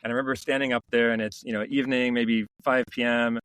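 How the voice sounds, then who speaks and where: A middle-aged man, a company founder, telling stories in a relaxed interview, reflective and full of restarts. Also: medium pitch, fast talker, clean tone, poor audio. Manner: reflective, many restarts and "you know" fillers. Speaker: founder and CEO, podcast guest. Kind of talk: casual interview storytelling.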